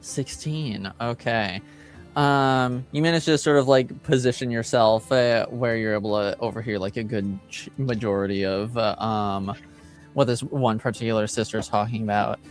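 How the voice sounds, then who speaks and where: A man improvising narration as game master of a tabletop roleplaying game, halting as he searches for words. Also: medium pitch, steady tone, soft background music.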